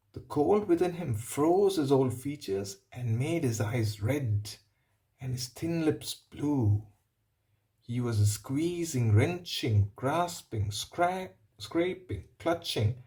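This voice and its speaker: A man reading a picture book aloud, pausing for simple explanatory asides and checking in with the listener. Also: medium pitch, expressive, darkish tone. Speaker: storybook reader.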